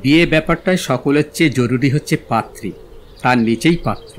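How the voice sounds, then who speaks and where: An actor playing a witty, dreamy young gentleman in a literary audio drama, speaking in a poetic, eloquent, theatrical way. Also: medium pitch, fairly quick, slight background noise, natural voice. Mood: musing, witty.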